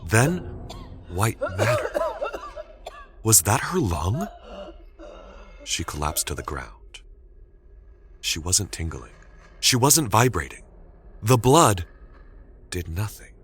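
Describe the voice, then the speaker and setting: A man narrating a scripted story dramatically, with suspenseful, deliberate phrasing. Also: on the low side, very animated, fairly bright tone.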